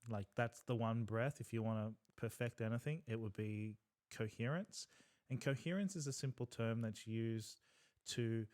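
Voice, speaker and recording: Guy explaining breathwork on a podcast, earnest, teachy, lots of ums, middle pitch. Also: moderate pace, a little mellow. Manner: earnest, instructive, frequent fillers. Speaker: podcast co-host, breathwork facilitator.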